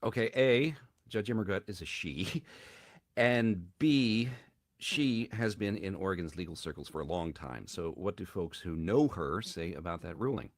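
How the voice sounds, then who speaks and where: A man hosting a news interview and asking brisk, direct questions. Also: sits low, lively intonation. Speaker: news host.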